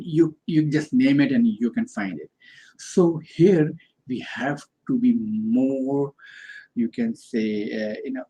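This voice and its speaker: A man's voice: mid-range voice, creaky, warm tone, slight background noise, poor audio. A man from Pakistan, a student, giving an informal spoken self-reflection with a hesitant, filler-heavy way of talking. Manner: hesitant, many fillers and restarts.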